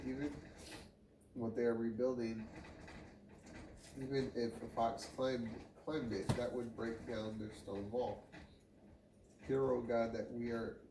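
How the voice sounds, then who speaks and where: A man's voice: mid-range voice, creaky. A man reading scripture aloud, sometimes stumbling and repeating a phrase. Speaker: Bible reader. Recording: roomy, distant mic, faint noise.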